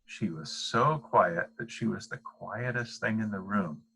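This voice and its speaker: An older man with a husky voice reading a children's bedtime story aloud. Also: fairly low pitch, unhurried, pushed and bright. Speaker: storybook reader.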